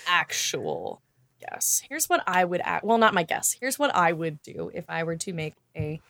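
A woman co-hosting a podcast in casual, unscripted chat, thinking aloud in a halting, colloquial way. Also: on the low side, wildly swooping pitch, gravelly, a bit bright. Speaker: podcast co-host.

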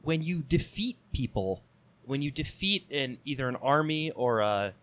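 Panel guy, slow and halting, mid voice, dry close sound. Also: medium pitch, lively intonation, fairly bright tone, no room sound. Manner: measured, halting, searches for words. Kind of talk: casual political discussion.

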